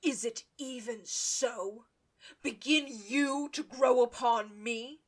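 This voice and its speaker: Cruel young lord, female actor, higher-pitched, imperious and sneering, classical audio drama. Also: slow. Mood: scheming, imperious. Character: haughty, scornful elder brother, a cruel young nobleman. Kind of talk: Shakespearean radio play acting.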